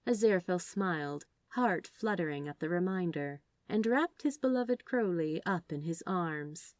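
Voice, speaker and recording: A woman reading a story aloud as narrator, in an expressive scripted style. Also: lowish voice, no echo, clean studio sound. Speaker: fan fiction narrator.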